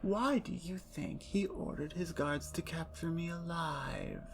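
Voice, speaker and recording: A woman voicing a playful, menacing captured female vampire, in an ASMR roleplay with soft whispers and a teasing, taunting manner. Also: fairly low pitch, unhurried, music in the background.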